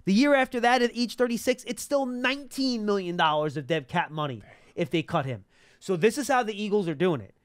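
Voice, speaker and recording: High-pitched, clear-voiced man hosting sports talk. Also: animated, fairly quick, no echo, clean studio sound. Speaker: sports talk show host. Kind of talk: live sports talk and analysis. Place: small indoor broadcast studio. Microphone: close broadcast mic on boom arm.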